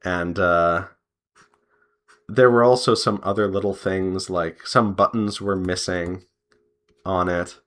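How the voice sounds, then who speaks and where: A man chatting casually as he draws, rambling in an unhurried way and pausing mid-sentence. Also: low voice, steady tone, a bit bright. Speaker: artist and devlog host. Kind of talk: casual chat while drawing.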